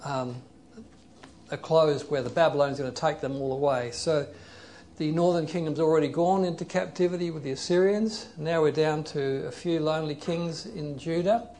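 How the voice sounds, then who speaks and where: A male preacher with a gravelly, slightly raised voice delivers an earnest sermon. He explains the passage carefully and reads scripture aloud at a slow pace. Faint hiss sits under the recording. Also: a little high, fairly even intonation, mellow. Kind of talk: sermon with Bible reading and prayer.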